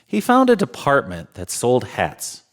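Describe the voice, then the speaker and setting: Man storyteller with animated, jolly delivery. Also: medium pitch. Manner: jolly, chuckling, unhurried dramatic phrasing. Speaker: storyteller in Santa persona. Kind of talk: children's storybook read-aloud.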